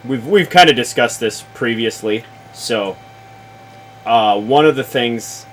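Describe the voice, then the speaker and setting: A man talking casually about tabletop games in a deliberate, halting, explanatory way, as if advising another player. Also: mid-range voice, a bit bright, a little hiss or hum, rough recording. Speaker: show host, experienced game master advising.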